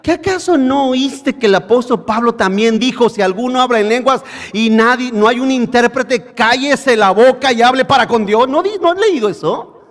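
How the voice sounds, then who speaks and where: Male pastor, very high voice, preaching, reverberant church hall. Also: animated, moderate pace. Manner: preaching, addresses listeners as brothers, rhetorical questions. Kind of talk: sermon. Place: church in Bakersfield, California.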